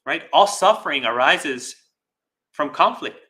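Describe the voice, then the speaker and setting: Bright, forceful man teaching Buddhism. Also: a little high, animated. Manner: deliberate, pausing, repeating phrases for emphasis; checks in with rhetorical "right?". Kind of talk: dharma talk with live chat questions.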